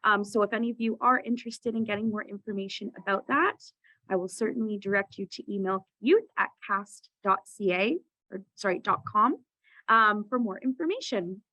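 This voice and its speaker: A woman's voice: mid-range voice, moderate pace, sharp tone, no room sound. A woman representing a partner organization, speaking casually on a video call and correcting her own slips. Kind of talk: virtual presentation. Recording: dull, dry video call audio.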